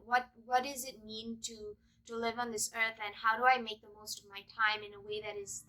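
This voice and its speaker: A young woman from Malaysia chatting casually and unscripted to viewers, earnest and halting as she searches for words. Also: fairly high pitch, sharp tone, poor audio. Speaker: vlogger introducing own channel.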